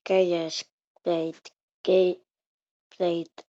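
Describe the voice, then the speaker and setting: A woman reading out an improvised mock emergency alert in a halting way, repeating and restarting her words. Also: lowish voice, flat delivery, warm tone. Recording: muffled, roomy home recording.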